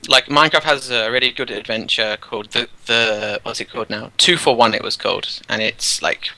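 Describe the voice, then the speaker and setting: A man, a teacher, casually explaining his classroom activities while touring a game world, relaxed and rambling with many fillers. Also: medium pitch, fairly quick, dry recording, low-quality recording. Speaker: teacher, guest.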